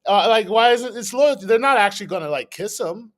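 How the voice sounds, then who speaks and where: A man's voice: high-pitched, expressive, a little fast. A man hosting a livestream, giving casual, slangy reaction commentary, talking to chat and mimicking people. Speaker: livestream host.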